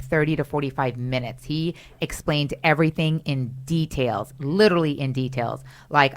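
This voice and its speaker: Low-voiced lady radio host, gushing and chatty, lots of "you know". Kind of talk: casual radio interview. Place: radio studio.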